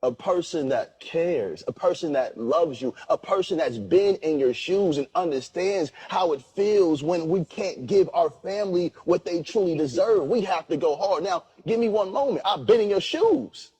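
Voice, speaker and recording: A man's voice: sits high, expressive, moderate pace, few pauses, dark tone, slightly rough, poor audio. A man earnestly exhorting in a preachy, emphatic way, heard in a played clip.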